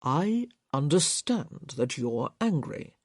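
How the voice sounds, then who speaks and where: A woman voicing a stern, proud young gentleman in an audiobook, speaking firmly with controlled, clipped delivery. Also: low-pitched, sing-song, takes it slowly, no echo, clean studio sound, full character voice. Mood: exasperated. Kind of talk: audiobook character voice.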